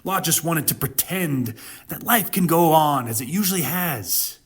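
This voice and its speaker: Animated, slightly husky man explaining scripture. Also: a little high, measured pace. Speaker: host, Bible commentator. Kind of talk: dramatic scripture commentary.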